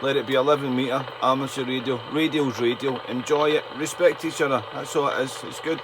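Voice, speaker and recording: A man's voice: mid-range voice, fairly even intonation, a little fast, noisy background. A man chatting casually as a radio operator, often repeating his phrases for emphasis. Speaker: radio operator and YouTube channel host. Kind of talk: casual ham radio chat.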